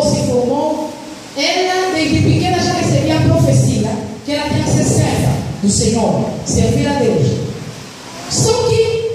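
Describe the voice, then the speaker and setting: A woman with a high voice preaches in a church, telling a testimony in an earnest way. Her voice booms around a big, echoey hall. The mic is set some distance from her, and steady noise runs underneath. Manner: earnest storytelling, asks the congregation for amens. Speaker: missionary preacher. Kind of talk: sermon with a personal testimony.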